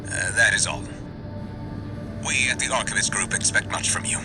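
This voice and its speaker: A man's voice: lowish voice, moderate pace, music in the background, close to an everyday voice. An actor playing a formal, courteous male corporate mercenary liaison in a video game. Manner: formal, polite. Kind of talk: video game voice acting, message.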